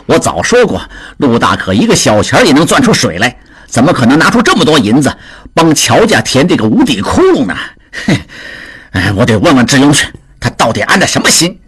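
A man's voice: medium pitch, sing-song, fairly bright tone, slightly rough, full character voice. Man voicing a jittery, flustered old-time merchant. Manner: fast, flustered, stammering exclamations. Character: excitable middle-aged male merchant, easily rattled. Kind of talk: audiobook character voice.

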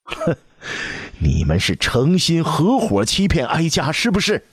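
A man's voice: mid-range voice, sing-song, normal speed, a little mellow, no echo, studio quality, pitched above the performer's own voice. A man voicing a furious old empress dowager. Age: older. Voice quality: commanding, scolding.

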